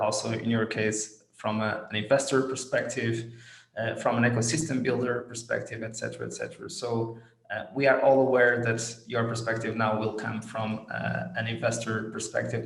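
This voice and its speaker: A man hosting a business podcast, introducing, explaining and putting questions to a guest. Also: mid-range voice. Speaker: podcast host. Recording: roomy, echoing sound.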